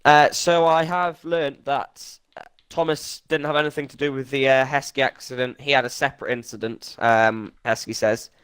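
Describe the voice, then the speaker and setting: A man co-commentating a racing game league, a little raspy from a cough and hesitant with fillers. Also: medium pitch, fairly even intonation, normal speed, fairly bright tone, no room sound. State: has a cough, slightly raspy. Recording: noisy game audio behind. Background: racing game engine noise.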